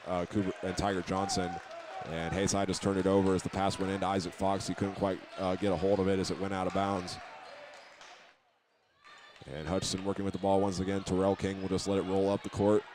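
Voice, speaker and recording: Low-voiced man calling basketball, fairly even tone. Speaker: play-by-play basketball announcer. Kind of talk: live radio play-by-play sports commentary. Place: indoor high school basketball game. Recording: live gym recording with background noise.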